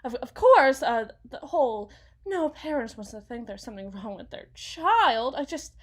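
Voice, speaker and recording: A woman playing an anxious, fragile wife and mother in an ASMR roleplay, rambling haltingly and correcting herself as if blaming herself. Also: on the high side, animated. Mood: nervous, fragile. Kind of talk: ASMR dramatic monologue roleplay.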